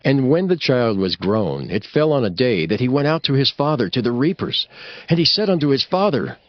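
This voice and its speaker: A man's voice: medium pitch, big pitch swings, moderate pace, no echo, lo-fi sound. A man narrating a scripture audiobook in a formal, solemn storytelling manner.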